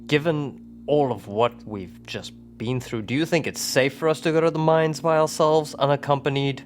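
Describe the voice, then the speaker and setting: A man's voice: a little high, moderate pace, close to an everyday voice. Rational male investigator acted by a player, formal and cautious, tabletop roleplay over faint music. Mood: cautious, questioning.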